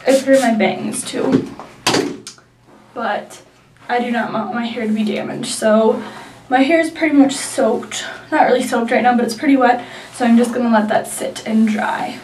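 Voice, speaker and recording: High-voiced young woman, stuffy nasal, rambling hair vlog, echoey room, mic kinda far. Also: normal speed, darkish tone, slight background noise. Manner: chatty, rambling, lots of likes and ums. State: nasal from a sinus infection. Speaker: beauty vlogger. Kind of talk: casual hair tutorial.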